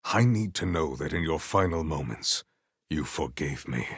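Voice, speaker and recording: An actor playing a noble, earnest warrior hero in a video game, speaking in a pompous, formal and grandiose way. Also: male voice, extremely low pitch, animated, moderate pace, fairly bright tone, slightly rough, dry recording, studio quality, full character voice. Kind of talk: video game character voice acting.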